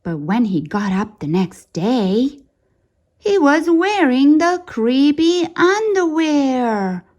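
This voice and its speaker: A woman reading a children's story aloud in an expressive, dramatic way, drawing out key words for suspense. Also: sits high, slow.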